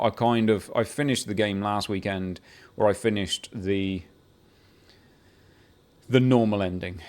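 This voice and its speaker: A man chatting casually about a video game he has been playing, rambling with restarts and the odd swear, building into excited bursts. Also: deep voice. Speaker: gaming video creator. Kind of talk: casual unscripted game talk to camera.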